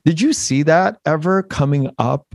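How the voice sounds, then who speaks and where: A man's voice: a little high, lively intonation, normal speed, dry recording, studio quality. A man hosting an interview, asking long, rambling questions in a casual tone. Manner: rambling, loosely strung questions. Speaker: podcast host.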